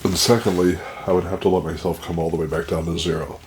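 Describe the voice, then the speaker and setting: A man with a very deep, low voice talks haltingly through a pepper tasting, his nose running and blocked up. He speaks into a plugged-in microphone at home, with a faint hiss behind him. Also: level tone. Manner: halting, describing the burn as it builds. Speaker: pepper reviewer. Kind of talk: casual vlog commentary. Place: indoors at home.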